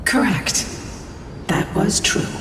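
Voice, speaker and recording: A woman voicing an ancient, solemn female guardian spirit in a fantasy game, breathy and measured in delivery. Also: noisy background, close to an everyday voice. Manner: measured, solemn, archaic phrasing. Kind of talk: fantasy video game voice acting. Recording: echoing hall, distant, loud music behind.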